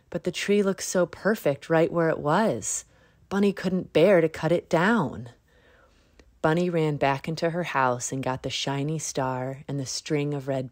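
A woman narrating a children's picture book in a measured read-aloud manner. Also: low voice, a little mellow, no room sound, studio quality. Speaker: storybook narrator. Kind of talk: scripted children's story narration.

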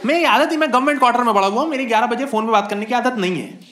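A young man from India performing a stand-up comedy set on a club stage, telling stories in an animated, mock-exasperated way into a handheld mic. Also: very high voice, racing, very noisy. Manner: animated, conversational storytelling with mock-exasperated asides. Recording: roomy club sound with loud crowd laughter.

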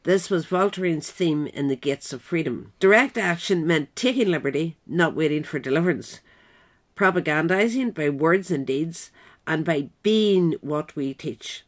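A woman narrator reading a scripted political essay in a measured, written style. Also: sits low, lively intonation.

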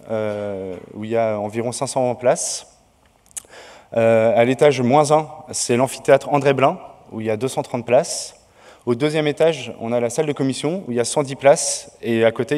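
Man at a podium, halting conference announcements, mid pitch, long hall reverb. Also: darkish tone. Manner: hesitant, many fillers. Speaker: conference organizer. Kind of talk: opening announcements. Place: large lecture hall. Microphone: podium mic.

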